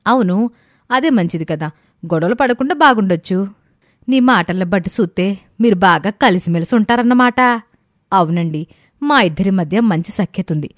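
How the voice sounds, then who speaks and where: Principled young wife, earnest and firm, actress reading, dry close sound. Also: female voice, mid-range voice, expressive, normal speed, pauses often, no room sound, lightly acted. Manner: earnest, firm, clearly spoken. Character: young village daughter-in-law, calm and principled.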